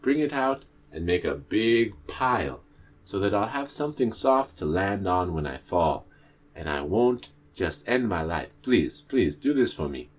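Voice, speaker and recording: A man's voice: deep voice, big pitch swings, a little slow, heavily acted. A man voicing a sly, greedy male tortoise trickster who wheedles and pleads, stammering as he begs. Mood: pleading.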